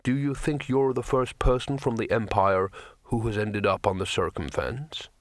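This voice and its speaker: A man voicing a huge sea troll in an audiobook story, speaking slowly and heavily, weary and menacing. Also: fairly low pitch, no room sound, clean studio sound, lightly acted. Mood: calm menace. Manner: slow, deliberate, heavy delivery.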